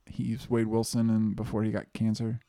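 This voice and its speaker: A man's voice: fairly low pitch, completely flat delivery, moderate pace, dark tone, dry recording, studio quality. A man co-hosting a podcast in casual chat, emphatic and heavily profane. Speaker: podcast co-host.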